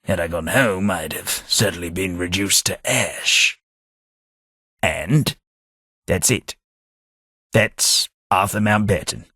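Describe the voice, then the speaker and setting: A man playing a husky, wry gentleman. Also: low-pitched, slow, pauses often, no echo, exaggerated voice. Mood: wry, reminiscing. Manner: formal, old-fashioned diction with dry wit. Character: genteel, radiation-scarred London gentleman, wry and sentimental. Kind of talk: video game character dialogue.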